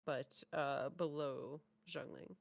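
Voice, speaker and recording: A woman's voice: deep voice, fairly even intonation, slow, vocal fry, dry recording. A woman co-hosting a reaction video, chatting casually and teasingly about game trailers.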